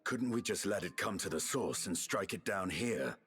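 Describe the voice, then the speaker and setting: An actor playing a gruff, restless fantasy game warrior with a dry, wry manner. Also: male voice, low-pitched, expressive, normal speed, a bit bright. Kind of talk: dramatic video game acting.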